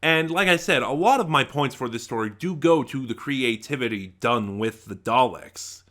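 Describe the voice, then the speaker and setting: A man giving a casual, unscripted review to viewers, halting and rambling as he often trails off mid-thought. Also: mid-range voice, animated, a bit bright. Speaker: YouTube reviewer.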